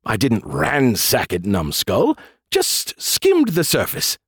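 A man's voice: medium pitch, huge pitch swings, slow, a bit bright, slightly rough, no echo, full character voice. Give this audiobook part to a smug, sarcastic machine mind acted out by a man. He brags freely and takes offense easily, in a close, dry recording. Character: snarky, boastful, petulant male artificial intelligence. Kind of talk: audiobook character dialogue.

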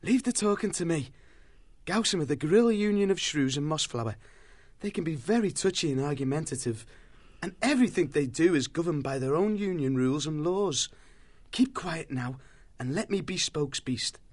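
A man's voice: a little high, expressive, moderate pace, voice pushed up. A man voicing a brave young male mouse warrior who talks earnestly and takes charge. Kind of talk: audiobook character voice.

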